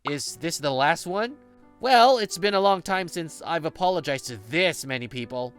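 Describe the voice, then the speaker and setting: A man playing an easygoing, patient office senior in an English manga dub, with an expressive, conversational delivery. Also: on the high side, moderate pace, clear, resonant tone, faint music behind.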